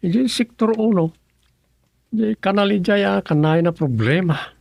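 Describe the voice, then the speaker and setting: A man's voice: high voice, unhurried, creaky, a little mellow, dry recording. A man with an Ilocano Filipino accent acting as an evasive, scheming village husband in a comic radio serial. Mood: defensive excuses.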